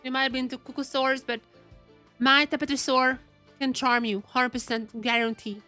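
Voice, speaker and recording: A woman game streamer with a very bright, fairly high voice, slightly worn by a cold. She chats casually to her viewers as she plays, repeating phrases while she thinks aloud. Game music plays behind her. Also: level tone, moderate pace. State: getting over a cold, voice wearing out. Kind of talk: casual live gameplay commentary to chat.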